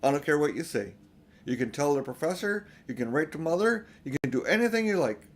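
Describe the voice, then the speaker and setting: A man's voice: fairly high pitch, very animated, brisk. A man reading a storybook, voicing a hurt little girl who is stubborn and insistent. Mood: defiant, upset. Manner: defiant, insistent.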